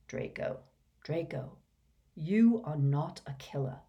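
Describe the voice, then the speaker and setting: A woman voicing a gentle, calm old male wizard headmaster who speaks softly and mildly. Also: sits low, dull audio. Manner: soft, mild, unhurried.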